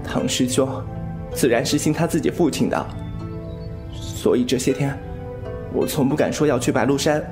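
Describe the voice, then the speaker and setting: A man's voice: mid-range voice, normal speed, pauses often, over music, natural voice. A man playing a cheeky, boastful young rogue healer in a Chinese wuxia audio drama, playful and theatrical. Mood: wry, wistful.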